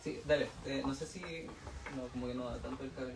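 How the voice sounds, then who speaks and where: A man moderating a book event, reading discussion questions to the audience in a relaxed, hesitant way with lots of fillers. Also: mid-range voice, brisk. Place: bookshop event room. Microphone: distant room mic. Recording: echoey room, voice far from mic, faint noise.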